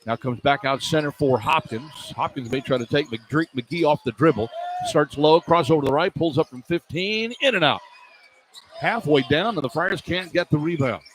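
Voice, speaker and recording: High-pitched male basketball play-by-play announcer. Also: normal speed. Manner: clipped running description in short phrases. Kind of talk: live basketball play-by-play commentary. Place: indoor basketball arena. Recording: live arena broadcast with background noise. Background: faint arena noise.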